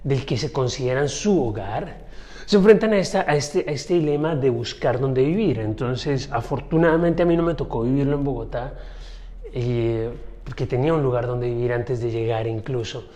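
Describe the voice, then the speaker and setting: A man talks through his own podcast in a loose, chatty monologue. He speaks directly to his listeners and shares personal stories in a reflective tone. His pitch sits in a middle range for a man. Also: normal speed, mellow. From Colombia. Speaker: podcast host. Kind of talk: casual solo podcast monologue.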